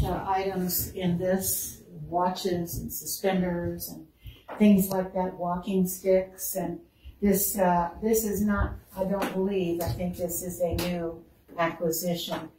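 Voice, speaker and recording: Woman museum curator, warm and chatty guide, somewhat low voice, distant mic in a reverberant room with faint hiss. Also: level tone, slow pace. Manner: chatty, lingers on exhibits, laughs easily. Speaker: museum curator giving a tour. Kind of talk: casual guided tour talk.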